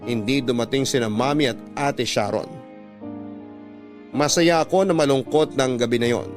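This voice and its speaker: A Filipino man narrates a personal letter in a scripted radio drama style. His voice is mid-pitched, with music clearly playing behind him. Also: moderate pace. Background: background music.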